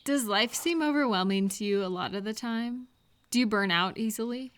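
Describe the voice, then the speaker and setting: A woman acting a caring, cheerful friend in a scripted roleplay audio, talking gently and attentively to the listener. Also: fairly high pitch, clean tone, natural voice. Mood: gentle, concerned. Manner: gentle, unhurried, attentive questioning with pauses for replies.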